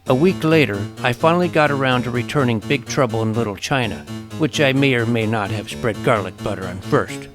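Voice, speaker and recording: Narrator for a kid-detective audio drama adult man: mid-pitched, plucky, dramatic storytelling, heavy music bed underneath. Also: a little fast, lightly acted. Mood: relieved, wry. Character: plucky, self-assured 12-year-old boy detective recounting adventures. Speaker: narrator and lead character. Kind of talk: dramatic first-person scripted narration.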